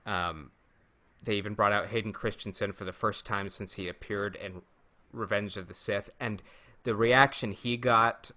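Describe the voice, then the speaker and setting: Man, podcast co-host, low pitch, bright and forceful, enthusiastic, casual storytelling. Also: steady tone, moderate pace. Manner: enthusiastic, jokey, emphatic.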